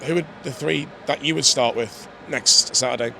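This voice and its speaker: A hoarse man hosting a casual football fan chat outdoors, upbeat and asking questions. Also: medium pitch, moderate pace, bright, forceful tone. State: slightly hoarse. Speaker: fan channel host. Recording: outdoor street noise.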